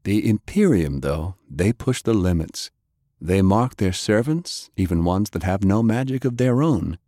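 A man acts out an easygoing magic knight in a pulp audiobook. He talks plainly and conversationally, explaining as he goes, in a low voice with a dry, close sound. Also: animated, measured pace, dark tone, no room sound.

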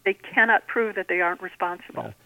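A woman being interviewed as an author, explaining things conversationally in a measured way. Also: fairly low pitch, very animated, creaky, pushed and bright, dry recording. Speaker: guest author. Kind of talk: conversational interview about own writing. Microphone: telephone. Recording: thin, band-limited telephone line.

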